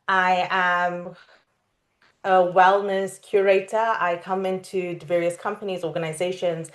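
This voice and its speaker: A woman yoga teacher introducing herself earnestly on an online panel, seated indoors. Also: on the low side. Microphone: video call, connection breaking up.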